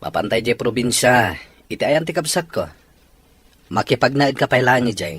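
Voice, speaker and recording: A man's voice: medium pitch, animated, normal speed, a bit bright, husky, no room sound. An actor playing a worried father out of work in a radio drama. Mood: weary. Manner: earnest, worried. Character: struggling father out of work, weary.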